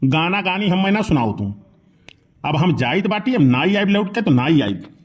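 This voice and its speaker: A man's voice: high-pitched, big pitch swings, normal speed, husky, lo-fi sound, heavily acted. A man voicing a grumpy henpecked village uncle in a comedy cartoon, complaining and blustering with an Awadhi accent. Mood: aggrieved, defiant. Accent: Awadhi, eastern Uttar Pradesh.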